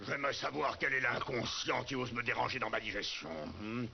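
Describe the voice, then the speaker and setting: A man's voice: medium pitch, steady tone, moderate pace, gravelly, a little hiss or hum, close to an everyday voice. A man voicing a bloodthirsty, scornful tiger villain in a French-dubbed cartoon, with a taunting drawl. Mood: mocking menace. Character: menacing, mocking bloodthirsty male tiger villain. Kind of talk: French cartoon dub acting. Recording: muffled cartoon soundtrack with loud music.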